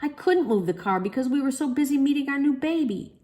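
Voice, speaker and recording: A woman's voice: high-pitched, animated, fairly quick, warm tone, pitched above the performer's own voice. A woman voicing a hapless, earnest, pleading father in a children's storybook. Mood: begging. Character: hapless, pleading football-loving dad.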